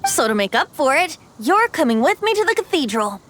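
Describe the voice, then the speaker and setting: A woman's voice: sits high, sing-song, fairly quick, a bit bright, a little hiss or hum. A woman playing a cheerful, cheeky young male bard in an anime game, with a playful, boastful, theatrical manner. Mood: gentle, wistful.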